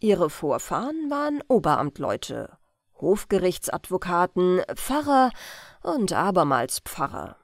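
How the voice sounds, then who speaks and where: A woman reading an old-fashioned family tale as an audiobook narrator, in carefully phrased, expressive storytelling. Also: on the low side, measured pace, no echo, clean studio sound. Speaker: audiobook reader.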